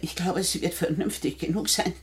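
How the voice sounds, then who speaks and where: Shrewd, mocking old widow played by an actress. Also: female voice, fairly low pitch, close to an everyday voice. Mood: warning, knowing. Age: older. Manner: deliberate, wry, with halting repetitions. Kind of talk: 1960 German radio play acting.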